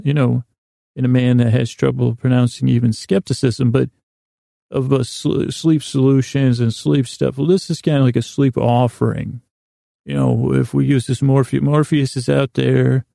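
Very gravelly, mellow man, rambling haltingly. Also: medium pitch, dry recording, clean studio sound. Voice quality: gravelly, creaky. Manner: halting, meandering, stammers and repeats words. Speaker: podcast host. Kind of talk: rambling bedtime monologue to help listeners sleep.

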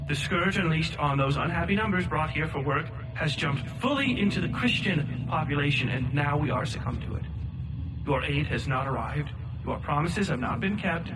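A man's voice: on the high side, expressive, normal speed, lightly acted. An actor playing a desperate, bigoted small-town mayor who reads out his letters in formal, florid, pleading oratory for an audio drama. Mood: anguished, indignant. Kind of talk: dramatic reading of old-fashioned letters. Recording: very noisy ambient background, distant quiet voice.

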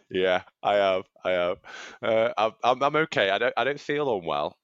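A man co-hosting a running podcast, his voice husky from a cold, chatting casually and laughing often at himself. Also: fairly low pitch, moderate pace, fairly bright tone, no room sound, dull audio. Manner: laughs often, self-mocking. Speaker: podcast co-host, aspiring runner. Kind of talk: casual chat. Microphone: studio microphone.

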